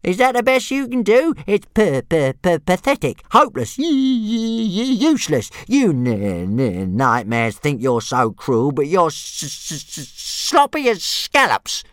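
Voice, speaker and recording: A man voicing a small, cheeky young male dragon who stammers in a high, squeaky voice. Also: wildly swooping pitch, measured pace, hardly any pauses, dry recording, clean studio sound, heavily acted. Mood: cheeky stammering.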